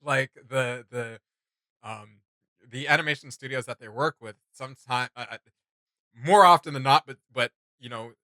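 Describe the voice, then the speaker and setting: A man's voice: mid-range voice, normal speed, sharp tone, dry recording. A man chatting casually and enthusiastically as a reaction host, rambling and slangy. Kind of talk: casual enthusiastic fan chat.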